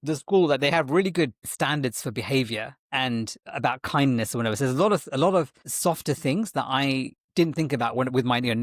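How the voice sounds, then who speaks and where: A man's voice: medium pitch, fairly bright tone, no echo, studio quality. A man interviewed as a guest, talking in a rambling way with frequent restarts and self-corrections. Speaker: guest, tech executive and parent. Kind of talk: conversational interview.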